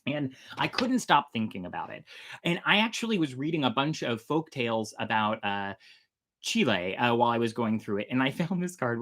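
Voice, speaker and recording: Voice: mid-range voice, fairly bright tone. Enthusiastic, informal storytelling host. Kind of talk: chatty intro and dramatic reading of an old sea tale.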